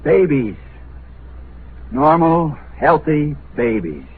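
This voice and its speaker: An actor playing a rough, plain-spoken camp survivor who is desperate to be believed, in a vintage science fiction radio drama. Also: male voice, on the high side, big pitch swings, takes it slowly. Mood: insistent. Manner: plain-spoken, urgent, colloquial. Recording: muffled, noisy narrowband old radio recording.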